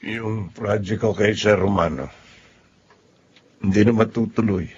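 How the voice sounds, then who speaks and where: A man's voice: on the low side, a little slow, a little mellow, highs cut off, a little hiss or hum, natural voice. A man acting an earnest, polite, downhearted engineer husband in a Filipino radio drama, with a Filipino accent. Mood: sad, betrayed.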